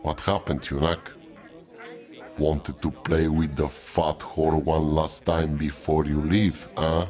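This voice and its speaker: An actor playing a gruff, sneering male innkeeper in an audio drama. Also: rumbling low, flat delivery, slow, a little mellow. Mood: mocking.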